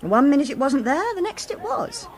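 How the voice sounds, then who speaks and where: A worried loyal girlfriend in a radio thriller, highish voice, very expressive pitch, always questioning. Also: normal speed. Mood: uneasy, puzzled. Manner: questioning, concerned. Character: anxious, loyal female shopkeeper, lover of a hunted man. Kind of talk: radio drama acting.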